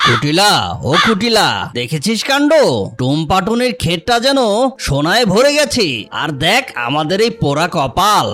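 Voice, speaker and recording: A man's voice: high voice, big pitch swings, moderate pace, bright, forceful tone, heavily acted. Blustering greedy crow acted by a man, swooping delivery. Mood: envious, annoyed. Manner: blustering, taunting. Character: bullying, greedy, lazy male crow, quick to scold. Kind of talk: children's cartoon dialogue.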